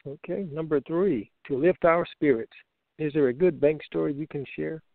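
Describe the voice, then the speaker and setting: A man's voice: on the high side, moderate pace, creaky, no room sound. A man co-hosting a casual conference call over a phone line, moderating and reading out listeners' questions.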